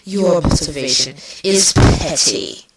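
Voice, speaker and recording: A woman's voice: low voice, very animated, slow, exaggerated voice. A woman voicing a bitter, venomous changeling queen villainess with a biting, sardonic delivery in a fan fiction reading. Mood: scornful. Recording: distant mic, clearly noisy.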